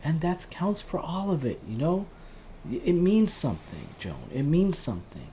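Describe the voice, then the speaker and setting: A man's voice: fairly high pitch, wildly swooping pitch, normal speed, mellow, a little hiss or hum. A man acting an empathetic, insistent helpline counsellor in an audio drama, pleading reassuringly and often ending on little tag questions. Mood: urgent, encouraging. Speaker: helpline host.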